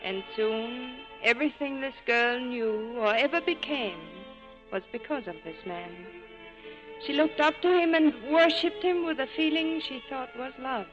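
Tormented young lover, highish voice, halting and earnest, vintage radio play with faint music beneath. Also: female voice, muffled recording. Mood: wistful. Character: earnest, anguished young woman in love. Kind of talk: 1940s radio drama acting.

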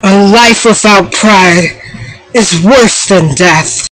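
A woman's voice: drawn-out words, a bit bright, no echo. A woman voicing a proud, stern young samurai warrior woman for a video game, with formal, haughty delivery on an amateur microphone. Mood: solemn, defiant. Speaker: amateur voice actor. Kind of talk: video game character voice reel. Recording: low-quality amateur microphone.

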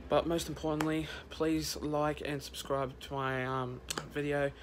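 A man's voice: fairly high pitch, flat delivery, unhurried, noisy background. Young man rambling a vlog sign-off. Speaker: vlogger. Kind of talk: vlog outro to camera.

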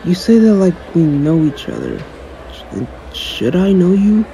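A man's voice: sits high, huge pitch swings, a little slow, creaky, dark tone, a little hiss or hum, exaggerated voice, rough recording. A man playing a cocky, jaded young superhero in an audio roleplay, talking in a dry, sarcastic, aloof way. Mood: guarded, dismissive. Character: jaded, cocky young male superhero, dismissive of his fan. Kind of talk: audio roleplay acting, one-sided conversation.

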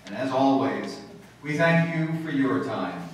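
A man's voice: mid-range voice, very animated, unhurried. A man announcing formally in old-time radio style from the stage of a hall. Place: stage in a hall before an audience. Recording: distant echoey hall with faint noise.

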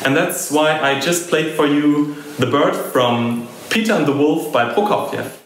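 A young man from Berlin, a flute student, introducing himself from his small flat. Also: fairly high pitch, a little slow. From Berlin, Germany. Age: young adult. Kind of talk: self-introduction to camera. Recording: very echoey room with faint noise.

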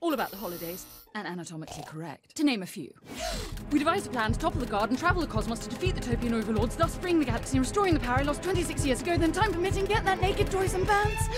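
A woman's voice: high-pitched, expressive, fast talker. A woman voicing a theatrical, scheming villain queen in a cartoon comedy. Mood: urgent, theatrical. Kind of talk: animated comedy voice acting. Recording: music behind the voice.

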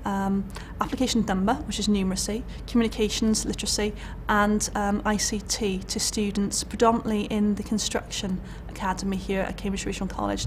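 A woman's voice: mid-range voice, slight background noise. A young woman with a Welsh accent, a college lecturer, talking candidly about her career in an interview, chatty with many fillers and restarts. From Wales. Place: indoor college room.

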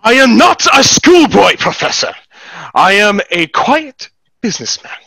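A man's voice: high voice, big pitch swings, measured pace, creaky, sharp tone, slightly rough, dry recording, full character voice. A young man in a play reading, acting a hot-headed, proud, indignant young businessman, recorded on a headset. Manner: brash, indignant. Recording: dull headset video-call audio.

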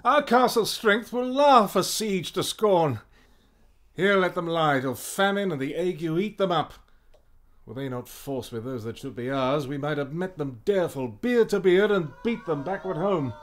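An actor playing an embattled, bitter warrior tyrant in a classical radio drama, declaiming theatrical verse. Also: male voice, a little high, lively intonation, moderate pace, a bit bright. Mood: scornful, bitter.

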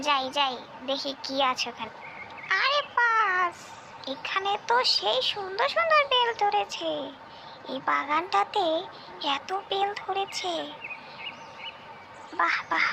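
A hungry female tree spirit from a cartoon folk tale, acted by a woman. The voice is extremely high and creaky, grumbling to itself and repeating phrases with menace. The track has clear noise behind it. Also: exaggerated voice.

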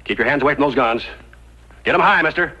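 An actor playing a wary, gruff frontiersman in a 1950s radio western, with a folksy, excitable manner. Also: on the high side, fast talker. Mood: wary, threatening. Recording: muffled old radio recording.

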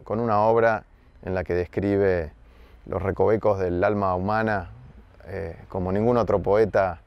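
A man, a theatre director speaking to camera in a garden, thoughtful with pauses and fillers. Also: low-pitched, fairly even intonation, dull audio. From Argentina. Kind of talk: interview to camera. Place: garden outdoors. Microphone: close camera framing.

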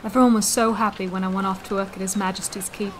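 A woman's voice: mid-range voice, little pitch movement, fast talker, a little hiss or hum, lightly acted. A woman playing a wistful former castle servant in a fantasy audio drama, plain-spoken and reflective. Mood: wistful, sad. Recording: loud background ambience, honking birds.

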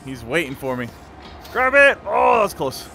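High-pitched, animated man gaming. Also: measured pace, creaky. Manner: exclamatory, short self-directed bursts. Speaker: gamer playing and commenting. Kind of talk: excited live gameplay commentary. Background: game music.

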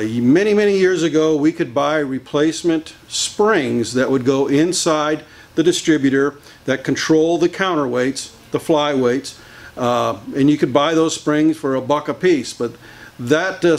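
Older male mechanic, plain-spoken teacher with a slightly high voice, recorded in a boat shop. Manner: plain-spoken, many uh fillers, hands-on demonstrating. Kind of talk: workshop how-to explanation. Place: large boat workshop indoors. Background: idling boat engine.

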